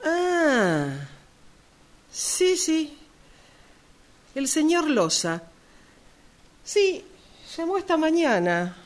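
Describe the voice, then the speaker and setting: A woman plays a discreet but bossy female shopkeeper in a radio drama. Her high voice swings theatrically up and down while she keeps a formal, slowish delivery. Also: sing-song, heavily acted. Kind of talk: amateur radio play acting.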